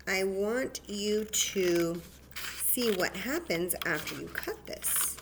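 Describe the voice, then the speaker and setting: A woman's voice: mid-range voice, background noise. A woman hosting a library story time, guiding children patiently through a paper plate craft step by step.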